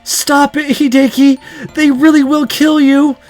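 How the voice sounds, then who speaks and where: A man's voice: very high voice, little pitch movement, unhurried, darkish tone, faint music behind, voice pushed up. A man voicing a frightened, pleading teenage girl held hostage, in a visual novel read-aloud. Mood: frightened, urgent.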